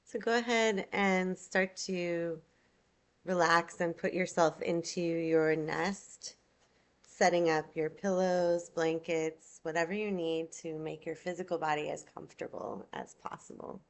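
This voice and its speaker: A woman yoga nidra instructor leading a guided meditation in a soothing, unhurried, gentle manner, leaving long pauses. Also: lowish voice, fairly even intonation.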